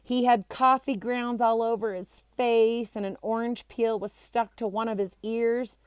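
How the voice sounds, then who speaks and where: A woman reading a children's chapter book aloud in an expressive, animated storytelling manner. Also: a little high, unhurried, clean tone, no echo. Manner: animated, acts out character voices. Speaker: storybook reader.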